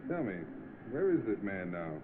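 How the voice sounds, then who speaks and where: An actor playing an unruffled, wry gentleman, dryly polite in the manner of 1930s film acting. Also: on the low side, big pitch swings, normal speed. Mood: politely wry. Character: cool, wry wealthy gentleman posing as accomplice. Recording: noisy, tinny old film soundtrack.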